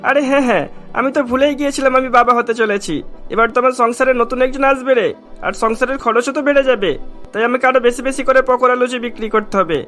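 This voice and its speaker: A man's voice: extremely high pitch, fast talker, a bit bright, faint music behind. A man voicing a shameless, boastful male street-food seller in a Bengali cartoon. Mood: carefree, gloating. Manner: brazen, boastful, dismissive.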